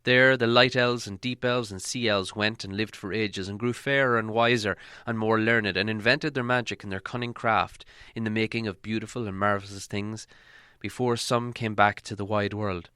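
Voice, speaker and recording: A man narrating a fantasy storybook as an audiobook reader, with measured, dramatic delivery. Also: lowish voice, fairly even intonation, sharp tone, clear, resonant tone, no echo, studio quality.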